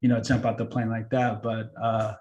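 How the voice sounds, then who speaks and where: A man's voice: lowish voice, normal speed, muffled recording, a little hiss or hum. Chatty man giving a casual career talk. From born in Kenya, grew up in Seattle. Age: 30s. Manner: relaxed and chatty, many fillers and restarts. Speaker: workshop presenter.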